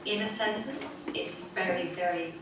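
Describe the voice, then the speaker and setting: A woman from Manchester explaining English vowel sounds clearly in a lesson video. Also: mid-range voice, expressive, unhurried, far from the mic. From Manchester, northern England. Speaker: English teacher, guest. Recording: echoey video played in a room, heard from afar with noise.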